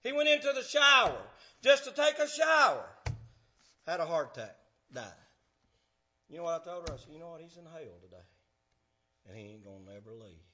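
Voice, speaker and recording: Pastor in his fifties, high bright voice, fiery delivery that shouts then hushes. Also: sing-song, normal speed, long pauses, lo-fi sound, a little hiss or hum. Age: 50s. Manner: fervent, swings from shouting to hushed. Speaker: preacher. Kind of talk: sermon. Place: church.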